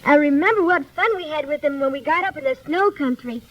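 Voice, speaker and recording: Woman as little girl, very high voice, sweet, earnest, gently bossy; vintage radio drama. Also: fast talker, lo-fi sound, natural voice. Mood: earnest, bright. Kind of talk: 1930s children's radio serial acting.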